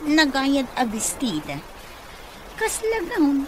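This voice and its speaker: A vain, whiny young woman played by an actress speaks in a high voice at a leisurely, drawn-out pace. It is haughty radio comedy acting, with faint noise behind it. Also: wildly swooping pitch, exaggerated voice. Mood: dreamy, whiny. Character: vain young office woman smitten with her boss.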